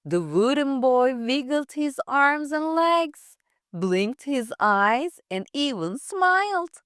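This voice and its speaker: A woman reading a scripted children's fairytale aloud for English learners, with careful, deliberate phrasing. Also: high-pitched, big pitch swings, a bit bright, no room sound, clean studio sound. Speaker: storyteller.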